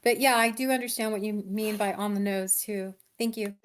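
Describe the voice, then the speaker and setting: Woman with a very clear, smooth voice. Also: medium pitch, steady tone, normal speed. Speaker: writer receiving feedback. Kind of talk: casual discussion. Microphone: online meeting mic.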